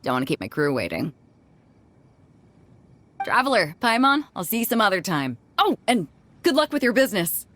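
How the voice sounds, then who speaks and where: A woman's voice: medium pitch, wildly swooping pitch, normal speed, long pauses, a bit bright. An actress playing a breezy, self-assured female sea captain with a casual, easygoing manner in a video game cutscene. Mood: upbeat, breezy. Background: background music.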